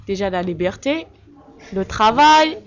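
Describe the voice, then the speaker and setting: A young woman from Kabylie in Algeria, answering interview questions in a halting, plain-spoken way with frequent fillers. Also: medium pitch, lively intonation, a crowd in the background. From Algeria (Kabylie, Tizi Ouzou). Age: young adult. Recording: muffled lo-fi recording.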